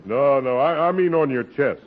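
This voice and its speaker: An actor playing a polite middle-aged army major who questions a guest in a 1940s radio comedy. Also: male voice, mid-range voice, normal speed, close to an everyday voice. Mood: genial. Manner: polite, genial, probing. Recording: tinny old radio broadcast.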